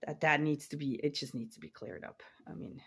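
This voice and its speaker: A woman's voice: sits low, a little fast, gravelly, a little hiss or hum. A woman in her forties from Switzerland, chatting casually to camera in her home office, rambling and repeating words as she trails off. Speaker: online reseller and vlogger.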